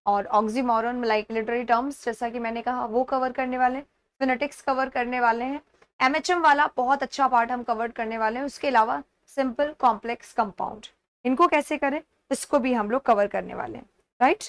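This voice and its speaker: A woman's voice: a little high, fairly even intonation, normal speed, a bit bright. A woman with an Indian accent teaching an exam-prep lesson step by step, repeating key words and checking that students follow. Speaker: English teacher.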